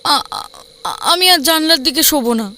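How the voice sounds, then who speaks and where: Woman acting a high-voiced, frightened young wife. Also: lively intonation, sharp tone, heavily acted. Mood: frightened, hesitant. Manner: hesitant, halting, fearful. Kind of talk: audio story dramatic acting.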